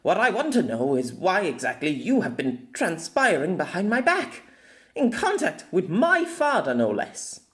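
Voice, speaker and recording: A man's voice: sits high, huge pitch swings, a little slow, a bit bright, voice pushed up, full character voice. A man voicing a haughty, indignant young noble lady in an audiobook, with theatrical, accusing delivery.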